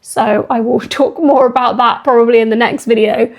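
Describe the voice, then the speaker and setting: Croaky-voiced chatty woman vlogger. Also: a little high, steady tone, normal speed, slight background noise. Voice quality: croaky, slightly husky. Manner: chatty and upbeat, rambling with asides. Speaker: fitness vlogger and coach. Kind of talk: casual talking-to-camera vlog.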